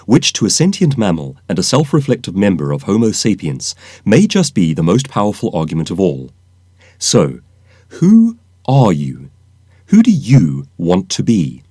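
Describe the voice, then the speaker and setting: A man reading his own nonfiction audiobook as an author and professional speaker, with a polished, emphatic, oratorical delivery and deliberate pauses. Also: lowish voice, dark tone, breathy edge, no echo, clean studio sound. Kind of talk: scripted audiobook narration.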